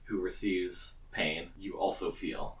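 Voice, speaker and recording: A man's voice: low voice, little pitch movement, slow pace. A man acting as game master, casually explaining tabletop game rules to players, picked up from a distance. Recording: distant mic pickup.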